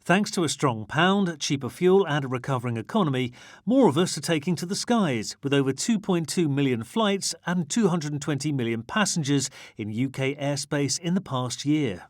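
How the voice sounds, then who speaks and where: A man delivers a scripted news narration. His voice sits a little high for a man and is recorded very dry, with hardly any room sound. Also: expressive, moderate pace, dry recording. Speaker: reporter and narrator.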